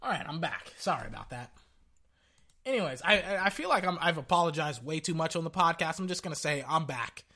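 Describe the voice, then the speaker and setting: A young man hosting a casual sports podcast, brash and excitable, talking through a sore throat as he loses his voice. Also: on the high side, fairly quick. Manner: brash and excitable, hypes with "ladies and gentlemen".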